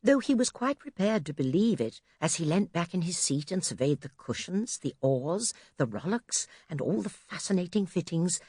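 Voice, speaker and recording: Woman, children's audiobook narration, lively intonation, dry close recording. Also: fairly low pitch, moderate pace, few pauses, breathy edge, no room sound. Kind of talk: expressive scripted children's story narration. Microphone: close studio microphone.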